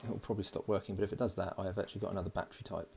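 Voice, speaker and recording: A man giving casual hands-on commentary as an electronics hobbyist, informal and halting as he thinks aloud while working. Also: deep voice, flat delivery, gravelly, darkish tone, slight background noise. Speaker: electronics hobbyist presenting a build. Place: indoors at a workbench.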